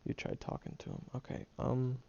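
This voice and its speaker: A man's voice: moderate pace, a little mellow, lightly acted. A man voicing a prickly young man who secretly cares, speaking straight to the listener in an audio roleplay, grumbling and hesitant but tender underneath. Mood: earnest. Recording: dull lo-fi recording.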